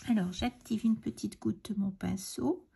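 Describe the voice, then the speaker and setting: A middle-aged woman with a cold, hoarse and breathy, chatting hesitantly and often half-whispering as she explains a crafting tutorial. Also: mid-range voice, a little slow, background noise. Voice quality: raspy, breathy, gravelly. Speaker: card-making tutorial host.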